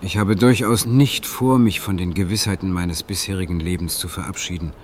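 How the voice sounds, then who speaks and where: A man's voice: low-pitched, fairly even intonation, brisk, a little hiss or hum, lightly acted. An actor playing a lonely, awkward man in an audio drama, hesitant and self-justifying as he defends himself. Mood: defensive. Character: defensive, lonely male dream-therapy client, awkward romantic. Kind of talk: German audio drama dialogue.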